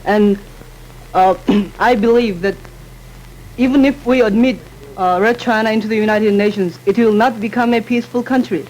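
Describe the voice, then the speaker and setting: A teenage boy argues his side in a student panel debate. He speaks haltingly, filling pauses and restarting phrases. His voice is very high for a young man, with a faint hiss behind it. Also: fairly even intonation, darkish tone, low-quality recording. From Korea. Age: teens. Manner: hesitant, many fillers and restarts.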